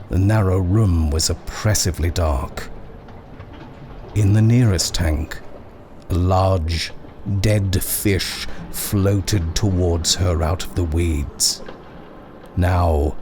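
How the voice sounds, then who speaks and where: A low-voiced man narrates an eerie horror story. He reads slowly and deliberately, leaving dramatic pauses between phrases. There is clear background ambience behind his voice. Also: a little mellow, a little hiss or hum. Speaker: narrator reading a short story.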